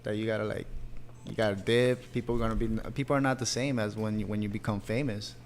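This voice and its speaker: A man's voice: on the low side, fairly even intonation, fast, a little hiss or hum. A man co-hosting a podcast, chatting casually in bro-heavy slang. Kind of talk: casual chat.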